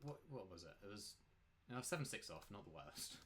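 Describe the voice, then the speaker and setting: A man's voice: fairly low pitch, big pitch swings, a little fast, a bit bright, slight background noise. A man giving casual, rambling live commentary as he plays poker, emphatically repeating points and rhetorical questions. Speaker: poker player and coach commentating his own play.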